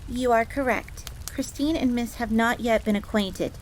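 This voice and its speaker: Casting: prim tsundere fox maid, played by a woman, higher-pitched, formal, jealous and devoted servant, audio roleplay with rain behind. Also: moderate pace, close to an everyday voice, rough recording. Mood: prim, haughty. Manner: formal, stiff, speaks of herself in third person. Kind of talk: scripted audio roleplay acting. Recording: steady rain noise in background. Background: rain ambience.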